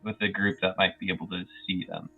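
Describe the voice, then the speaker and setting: A man's voice: sits low, level tone, quick pace, phone-quality sound. A man playing a tabletop role-playing game, chatting casually and tentatively about his moves. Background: faint music.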